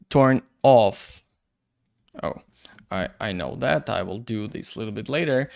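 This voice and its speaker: A man teaching 3D software in English, which is not his first language. He thinks aloud at a slow, unhurried pace, stops often on fillers, and has a clean, resonant voice at a middle pitch. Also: vocal fry. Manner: halting non-native English, many fillers; thinks aloud while working. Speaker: 3D visualization instructor. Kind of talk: screen-recorded software tutorial.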